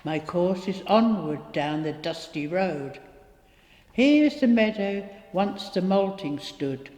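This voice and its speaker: A woman reciting rhyming poetry in a measured reading style. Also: fairly low pitch, lively intonation, darkish tone. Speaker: poetry reader. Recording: echoey hall with long reverb.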